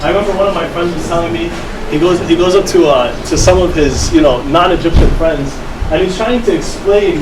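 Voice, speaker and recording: A man's voice: on the high side, a little fast. A man born and raised in the US gives a casual talk to a live audience, speaking conversationally and tagging his lines with a rhetorical "right?". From United States. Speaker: youth speaker. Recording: echoey room recording from a distance with air-conditioning hum.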